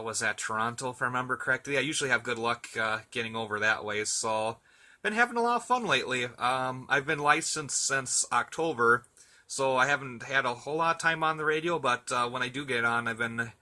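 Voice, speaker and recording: A man's voice: medium pitch, a little fast, pushed and bright. A man in a casual radio chat, talking in an easygoing, chatty and hesitant way as an amateur operator.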